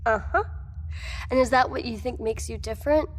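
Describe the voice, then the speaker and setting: A woman's voice: a little high, moderate pace, natural voice. A woman acting in an audio drama as a defiant, distrustful character, pointed and sardonic, challenging authority. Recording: long hall-like reverb.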